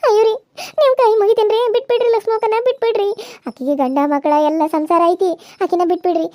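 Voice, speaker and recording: A woman's voice: very high-pitched, darkish tone, no echo. A woman playing frightened village women who plead and wail. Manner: wailing, pleading, fast.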